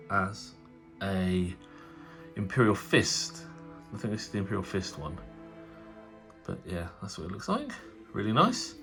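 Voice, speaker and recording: Low-voiced man, nasal from a cold, rambling casual hobby-channel host, light music bed. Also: a bit bright. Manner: chatty, rambling, frequent fillers and restarts. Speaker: tabletop wargaming channel host. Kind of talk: casual unboxing commentary.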